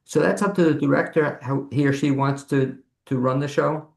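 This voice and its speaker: A man hosting a conversational interview, putting questions to his guests as if thinking out loud. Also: a little high, steady tone, moderate pace, creaky. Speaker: host, interviewer. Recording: echoey room sound.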